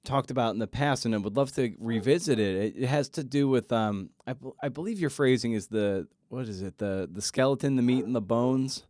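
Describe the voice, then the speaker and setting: A man hosting a podcast interview in a casual way, halting and rephrasing as he works toward his point. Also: mid-range voice, darkish tone, dry recording, clean studio sound. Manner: halting, rephrasing questions as he goes.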